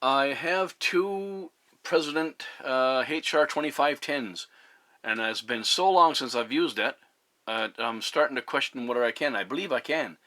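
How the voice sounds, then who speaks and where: A man chatting as a CB radio operator in a measured, courteous way. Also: mid-range voice, pushed and bright, no room sound. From Newfoundland, Canada. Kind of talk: casual radio conversation.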